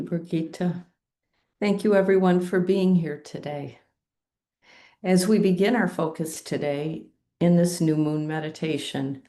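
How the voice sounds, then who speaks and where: A woman hosting an online group meditation call, speaking in a calm, measured, gently instructive way. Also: deep voice. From USA. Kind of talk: slow guided meditation introduction.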